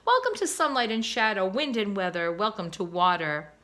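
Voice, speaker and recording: A woman's voice: mid-range voice, sing-song, moderate pace, bright, forceful tone. A woman voicing a proud father swan who gives a grand, emphatic speech in a storybook.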